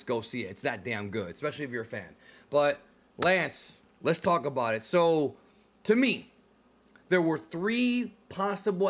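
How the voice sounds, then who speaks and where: A man's voice: fairly high pitch, fairly bright tone. A man hosting a casual, enthusiastic movie and game discussion, speaking emphatically straight to the viewers. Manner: emphatic, addresses viewers directly. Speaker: host of a movie and video game discussion show. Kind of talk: casual enthusiastic video commentary chat.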